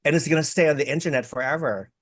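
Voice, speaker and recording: A man's voice: fairly high pitch, very fast, no echo. Guy hosting a zoom interview, rambly, trips over his words, joking around, dry close sound. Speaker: interviewer, host. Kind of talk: casual interview chat. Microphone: video call.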